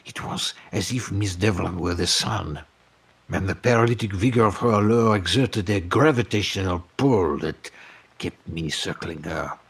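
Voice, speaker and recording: Gravelly, snarling old French producer. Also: male voice, sits low, heavily acted. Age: 80s. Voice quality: gravelly, raspy. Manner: grandiose, florid confession. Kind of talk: scripted mock-documentary interview.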